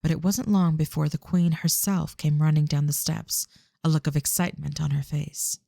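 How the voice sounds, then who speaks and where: A man's voice: fairly high pitch, flat delivery, normal speed, creaky, dark tone, no room sound, clean studio sound. A man reading scripted third-person fantasy narration for an audio drama.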